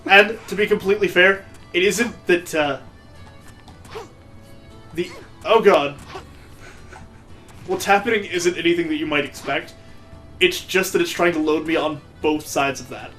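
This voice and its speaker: Man, somewhat high voice, casual gaming commentary, sarcastic banter, background game music. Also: a little slow, long pauses, a bit bright. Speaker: gaming video co-host playing the game. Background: video game music.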